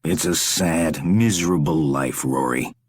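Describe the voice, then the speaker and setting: A man voicing a calm, soft-spoken captor who speaks slowly and quietly with menace. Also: very deep voice, slightly rough, heavily acted. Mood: menacing.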